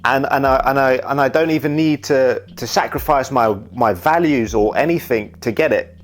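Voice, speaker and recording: A man's voice: medium pitch, moderate pace. A young man talking candidly about his life, rambling and often saying "you know". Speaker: YouTuber and wedding videographer. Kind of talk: reflective casual monologue.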